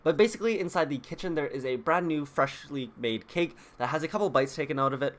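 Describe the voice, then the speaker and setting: A man's voice: a little high, fast talker. A man hosting a video, explaining details to viewers in a casual, chatty way. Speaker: video host. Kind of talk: casual scripted video commentary.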